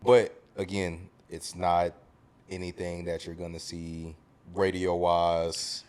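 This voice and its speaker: A man's voice: low voice, flat delivery, slow pace, a little mellow, clear, resonant tone. An American man from the South hosting a casual music discussion, talking emphatically and repeating phrases for stress. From southern United States. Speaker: podcast host.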